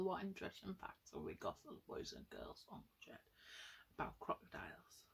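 A woman's voice: mid-range voice, darkish tone, husky. A young woman telling a story to children, addressing them directly with questions and exclamations. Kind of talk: storytelling to children with paper puppets. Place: small room at home, indoors.

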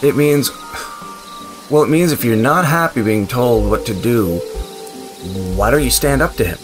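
An actor playing a wry, sentimental, quick-witted wasteland survivor in an audio drama, with a conversational, hesitant, dryly humorous delivery. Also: male voice, mid-range voice, animated, over music, lightly acted. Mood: encouraging, awkward. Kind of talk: audio drama acting with narration.

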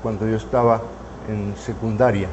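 A man in his seventies from Mexico, reminiscing in an interview in his studio, unhurried and full of hesitant fillers. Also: on the low side, little pitch movement, dull audio, noisy background. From northern Mexico. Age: 70s. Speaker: painter interviewee. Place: artist's studio, indoors. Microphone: lapel mic.

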